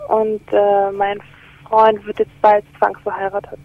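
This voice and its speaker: Woman, 20, radio caller, gravelly fry in the voice, hesitant and soft, tinny phone line. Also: medium pitch, monotone, unhurried. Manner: hesitant, many fillers, soft. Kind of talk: phone call to a radio show. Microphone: telephone.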